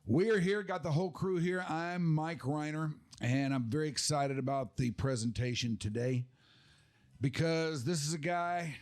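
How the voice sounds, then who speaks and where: An older man hosting a podcast in a casual, deliberate way, repeating phrases for emphasis. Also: a little high. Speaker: podcast host.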